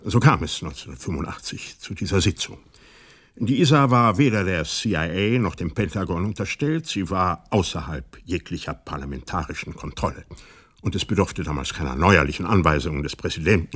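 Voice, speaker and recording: An actor playing a sober investigator who narrates a radio play in a deliberate, measured, husky way. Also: male voice, deep voice, lively intonation, fairly bright tone, exaggerated voice. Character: sober male investigator recounting a conspiracy he uncovered.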